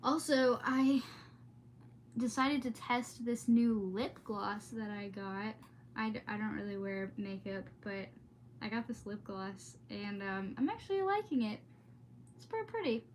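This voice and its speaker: A woman's voice: on the high side, a little slow, slight background noise. A woman streamer chatting in a casual, rambling, exclamatory way, easily sidetracked. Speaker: streamer hosting a read-aloud. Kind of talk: casual rambling vlog chat.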